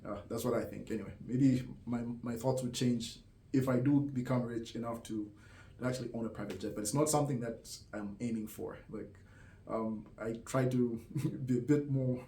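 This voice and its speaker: A man's voice: mid-range voice, steady tone, moderate pace, slight background noise. A man from Zambia talking casually to camera, thoughtful and hesitant, with lots of "um" and "like" and small laughs. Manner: hesitant, many fillers and soft laughs. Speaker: vlogger. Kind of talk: casual reflective monologue. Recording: roomy echo.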